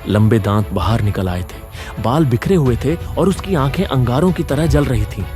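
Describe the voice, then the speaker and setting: A man's voice: mid-range voice, animated, normal speed, over music. A man reading a scripted horror story as a dramatic narrator.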